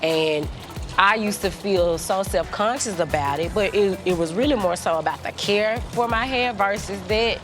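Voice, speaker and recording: A woman with a deep voice talking reflectively in a casual group chat, with many fillers. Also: moderate pace, music in the background. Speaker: panelist.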